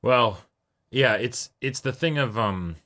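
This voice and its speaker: A man co-hosting a reaction show, talking casually and thoughtfully, haltingly building his points and checking for agreement. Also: medium pitch, lively intonation, pushed and bright. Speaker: co-host of an anime reaction show. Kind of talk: casual conversational commentary.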